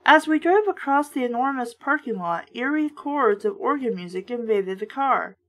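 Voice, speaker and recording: High-voiced woman reading aloud. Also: animated, moderate pace. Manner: sardonic, blunt, swearing asides. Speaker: host reading a children's horror book aloud with commentary. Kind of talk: storybook narration with casual asides.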